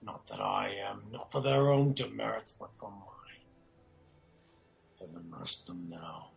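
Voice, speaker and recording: A man's voice: on the low side, expressive, measured pace, vocal fry, full character voice. A man playing an emotional, grave nobleman in a Shakespearean radio drama, speaking dramatic verse. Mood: grief-stricken. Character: Macduff, a grief-stricken Scottish nobleman turned vengeful.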